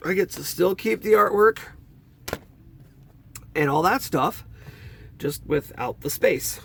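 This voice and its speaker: A man with a congested, stuffy nose rambles through his movie collection, his pitch jumping all over the place. He talks slowly, with a gravelly edge in his voice. Faint background noise sits under his voice. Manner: chatty, rambling, lively swings in pitch. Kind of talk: casual collection walkthrough.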